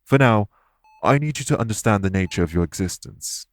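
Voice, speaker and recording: A man playing a solemn, guilt-ridden fae in an audio roleplay, speaking formally and earnestly in measured, deliberate phrases. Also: fairly low pitch, lively intonation, natural voice. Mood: grave, explanatory. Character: solemn, guilt-ridden male fae explaining magic to a patient. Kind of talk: audio roleplay acting, addressed to listener.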